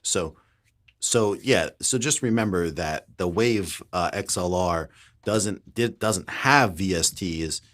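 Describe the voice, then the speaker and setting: A man co-hosting a live stream, explaining gear settings earnestly in a casual, halting way on a pencil condenser mic. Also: low voice, fairly bright tone. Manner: earnest, explanatory, hesitant starts. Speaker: co-host of a tech live stream.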